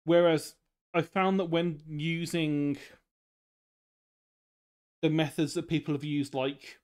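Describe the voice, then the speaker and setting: A man's voice: on the high side, a little mellow. A young man casually explaining drawing on a live stream, in rambling, loose sentences full of fillers.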